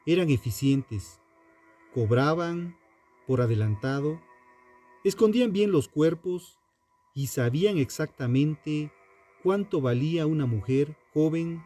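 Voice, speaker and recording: A man narrating scripted true crime in a solemn, deliberate, dramatic way, pausing between short phrases. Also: on the high side, lively intonation, warm tone, faint music behind.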